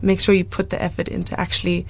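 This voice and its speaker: A woman's voice: on the low side, monotone, fast, darkish tone, slight background noise. A woman in her twenties from Cape Town with a South African accent, speaking earnestly and reflectively as a musician guest in a casual radio interview. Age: 20s. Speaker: singer-songwriter guest.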